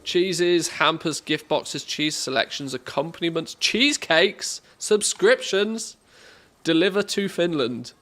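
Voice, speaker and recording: A man's voice: on the high side, normal speed, few pauses, a bit bright. A man with an English accent chatting casually as a game livestreamer, in a jokey, self-deprecating way. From England, born in the northwest, lived mostly in the Midlands.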